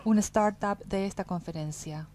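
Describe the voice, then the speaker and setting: A woman's voice: mid-range voice, normal speed, a little mellow. A woman interpreting a conference talk, following the speaker phrase by phrase. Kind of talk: consecutive interpreting.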